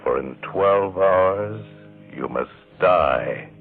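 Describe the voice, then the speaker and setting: A man giving grave, measured, ominous narration in the style of 1950 radio thrillers. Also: sits low, expressive. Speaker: radio announcer reading a teaser. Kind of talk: 1950 dramatic second-person radio narration. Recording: tinny vintage radio broadcast, faint music.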